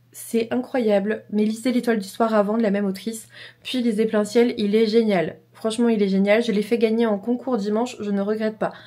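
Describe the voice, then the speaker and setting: A woman's voice: medium pitch, warm tone. A young woman with a slight cold, chatting casually and enthusiastically in her vlog, rambling and repeating herself. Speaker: book vlogger.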